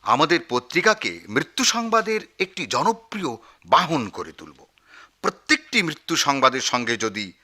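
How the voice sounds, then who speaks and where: Pompous male newspaper editor, very bright forceful voice, swooping delivery, florid comic radio-play grandeur. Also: a little high, sing-song, moderate pace, full character voice. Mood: persuasive. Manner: pompous, florid, wheedling, with hesitant filler sounds. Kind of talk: comic Bengali radio drama acting.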